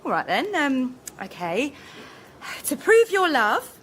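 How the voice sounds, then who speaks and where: A woman's voice: sits high, huge pitch swings, slow pace, fairly bright tone, exaggerated voice. An actress playing a stubborn, teasing girlfriend with a matter-of-fact, drily unimpressed manner in radio comedy. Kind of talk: radio sketch comedy dialogue.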